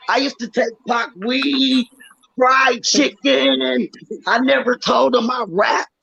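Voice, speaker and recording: Raspy, loud-storytelling woman on a lo-fi stream. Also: on the high side. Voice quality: raspy, worn-out voice. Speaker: guest rapper. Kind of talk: casual live-stream chat. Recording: dull lo-fi stream audio.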